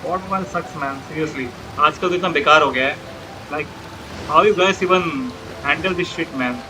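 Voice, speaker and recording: Very fast, high-pitched Indian man. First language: Hindi. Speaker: game streamer. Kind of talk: casual live-stream chat and commentary. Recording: laptop stream with background noise. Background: game audio.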